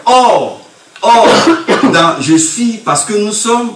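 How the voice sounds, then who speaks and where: A man's voice: high voice, lively intonation, very slow, noisy background. A middle-aged man giving an academic conference lecture, emphatic and rhetorical, repeating key phrases as he speaks at a table mic. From Côte d'Ivoire. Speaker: university lecturer. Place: conference room with projector screen. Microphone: table mic on stand, recorded by far camera. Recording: echoey room from far off.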